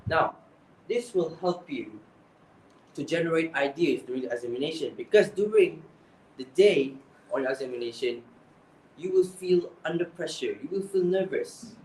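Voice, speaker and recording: A man's voice: a little high, animated, moderate pace, darkish tone, a little hiss or hum. A young man with a Malaysian accent giving exam tips, earnest and often restarting his phrases. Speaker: English speaking coach. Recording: echoey room, voice from far off.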